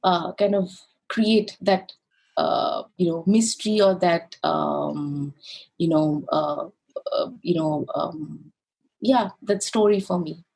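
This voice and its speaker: A woman's voice: on the low side, takes it slowly, vocal fry, a little mellow, breathy edge, poor audio. A woman from India and Greece talking conversationally and reflectively as an interview guest, often saying "you know". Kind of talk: conversational personal storytelling.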